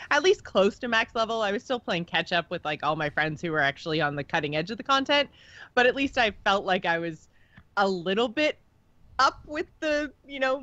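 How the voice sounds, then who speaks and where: A woman's voice: mid-range voice, a bit bright, dry recording. A woman with a mid-range voice talks as a podcast co-host. She is informal and enthusiastic, chatting casually. The sound is dry and a little dull, as on a lo-fi mic. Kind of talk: casual podcast chat about gaming.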